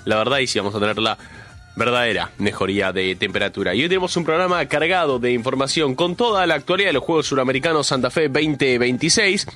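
A man's voice: medium pitch, fast talker, pushed and bright. A man with a Buenos Aires accent hosting live radio, running through the news conversationally with his own opinions, repeating phrases for emphasis, while suffering from a cough and a cold. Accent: Argentine, Buenos Aires. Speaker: radio host. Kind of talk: live radio show opening, news rundown with commentary. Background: music bed.

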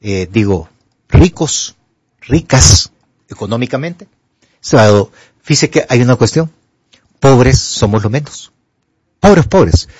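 An older man with a Honduran Spanish accent, a doctor on a radio call-in show who gives health advice in an emphatic, animated way. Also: medium pitch, slow pace, pauses often, breathy edge, no room sound, muffled recording. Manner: animated, emphatic, rises to pleading.

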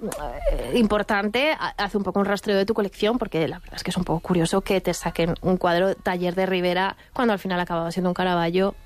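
Female guest, museum worker and author, relaxed and considered. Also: fairly low pitch, brisk, few pauses. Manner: thoughtful, fillers, light laughs. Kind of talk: casual radio interview.

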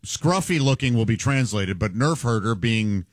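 A man's voice: mid-range voice, animated, no echo, clean studio sound. Joking radio co-host man. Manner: joking, quick back-and-forth. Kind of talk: casual morning radio banter. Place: radio studio. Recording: radio broadcast with music behind.

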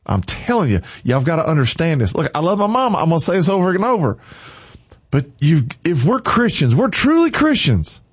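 A man's voice: fairly high pitch, wildly swooping pitch, a little fast, slightly rough, dry recording. Male morning radio host, preachy and fervent, dry close studio sound. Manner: fervent, preachy, repeats short commands. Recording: dry, slightly muffled studio.

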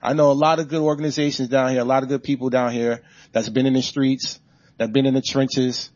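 A male labor organizer answers a question from the audience, building his points like a preacher. The room sound is dry and close, and the recording is muffled and short on top end. His pitch sits in an ordinary middle range. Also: little pitch movement, a little fast, a little mellow, no echo. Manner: emphatic, rallying, preacher-like cadence. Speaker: author and labor organizer, guest speaker.

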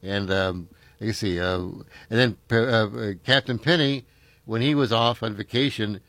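A man's voice: on the low side, unhurried, fairly bright tone, dry recording. An older man, hosting a casual chat and reminiscing in a rambling way, full of fillers and restarts.

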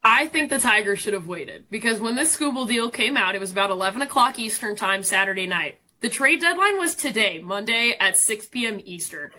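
A woman's voice: mid-range voice, animated, fairly quick, hardly any pauses, pushed and bright. A woman in her twenties giving conversational sports analysis as a radio guest, explaining things emphatically and informally. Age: 20s.

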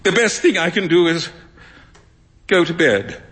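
A man voicing a sulky, hapless young gentleman. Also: sits high, lively intonation, unhurried, pitched above the performer's own voice. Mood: rueful. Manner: rueful, sulky. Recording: long stage reverb in a hall.

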